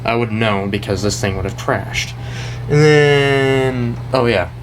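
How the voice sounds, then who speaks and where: A man hosting a casual show-and-tell, talking in a rambling, halting way and often trailing off mid-thought. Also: mid-range voice, music in the background. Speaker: video host and weather radio collector.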